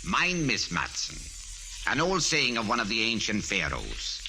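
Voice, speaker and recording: An actor in 1940s radio style playing a megalomaniac cult villain, grandiose, pompous and coldly polite in formal, theatrical speech. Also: male voice, lowish voice, wildly swooping pitch, unhurried, creaky, bright, forceful tone, exaggerated voice, poor audio. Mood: smug, menacing. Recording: vintage 1940s radio with organ music.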